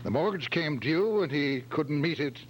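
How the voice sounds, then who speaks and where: A man's voice: a little high, lively intonation, normal speed, close to an everyday voice, low-quality recording. A man playing an easily startled, well-off businessman with a hesitant, stammering delivery in an old-time radio detective drama. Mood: anxious recalling. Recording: faint hum and radio-like background noise.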